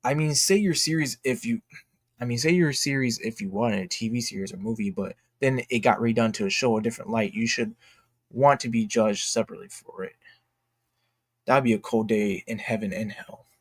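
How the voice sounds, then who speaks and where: Guy ranting casually on a stream, mid pitch, super dry sound, blunt, keeps restarting and repeating stuff to make his point. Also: no echo. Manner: halting, repeats phrases for emphasis; blunt, emphatic. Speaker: streamer and commentator. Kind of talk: casual opinionated livestream commentary.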